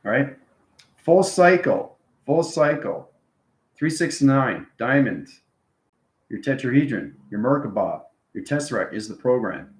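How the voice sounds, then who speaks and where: A man's voice: mid-range voice, animated, measured pace. A man giving an informal live lesson to viewers as an online teacher, repeating himself and often checking in with "okay" and "right". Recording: roomy, echoing room sound.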